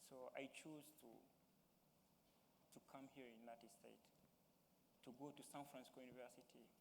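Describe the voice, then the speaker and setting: A young man from Mali with a Malian accent, giving halting personal testimony as a town hall panelist and searching for words in English. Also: mid-range voice, fairly even intonation, vocal fry. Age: young adult. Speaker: youth panelist. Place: large hall. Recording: reverberant hall with faint noise.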